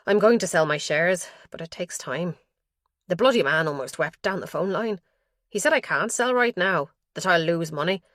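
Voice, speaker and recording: A woman's voice: deep voice, a bit bright, no echo, studio quality. A woman voicing an elderly father who pours his fears about his son into a diary, anxious and guilt-ridden.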